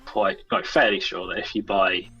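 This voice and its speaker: Bright, lowish-voiced man chatting. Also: fairly even intonation, moderate pace, lo-fi sound, faint music behind. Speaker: guest, indie game developer. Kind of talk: casual chat.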